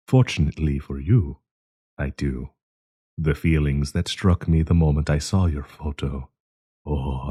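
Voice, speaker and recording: A man playing a sinister, possessive werewolf professor in an intimate audio roleplay, coaxing and menacing, with a husky, breathy voice. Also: rumbling low, mellow, dry recording, studio quality, full character voice. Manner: slow, coaxing, menacing endearments.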